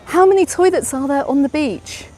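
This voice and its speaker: A woman's voice: high-pitched, normal speed, a little mellow. A middle-aged English woman with an English accent, giving a live walking tour and answering viewers' questions as she goes. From England. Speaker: travel vlogger. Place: outdoors on a busy beach promenade at dusk. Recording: outdoor live stream with crowd noise. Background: crowd chatter and passing traffic.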